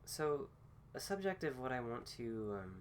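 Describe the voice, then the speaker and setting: A man recovering from a cold gives a casual philosophy talk with many pauses, fillers and restarts. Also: mid-range voice, animated, a little hiss or hum. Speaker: host.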